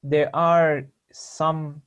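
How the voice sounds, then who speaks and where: Moderator voice, mid pitch, asks short questions to panelists. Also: flat delivery, extremely slow, clean tone. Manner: brief questions passing the floor.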